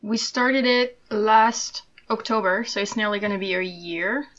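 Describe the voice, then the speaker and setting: A seventeen-year-old woman from France, speaking in an earnest, measured way as a guest in an interview. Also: medium pitch, fairly even intonation, a bit bright. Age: teens. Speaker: podcast co-host, guest. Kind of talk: casual interview chat. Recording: dull lo-fi mic.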